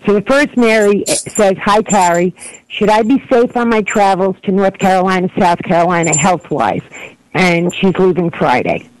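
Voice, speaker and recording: A woman co-hosts a live call-in show, reading listeners' chat questions aloud. She speaks in a casual, hesitant way, pausing and restarting often with plenty of ums. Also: medium pitch, no room sound, rough recording. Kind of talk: casual live online radio talk.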